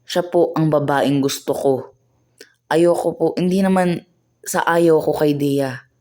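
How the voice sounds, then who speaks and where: A woman's voice: low voice, dark tone. A woman voicing a young man torn between love and duty, talking earnestly and pleading, in an amateur radio play.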